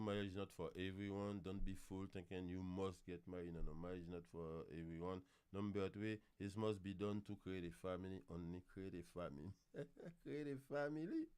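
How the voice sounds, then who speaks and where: A man's voice: fairly low pitch, darkish tone, clean tone. A man with a Haitian accent, a pastor teaching and promoting on a livestream in an emphatic, repetitive way. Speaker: pastor and host of a marriage teaching show. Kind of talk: preaching and promotional talk on a livestream.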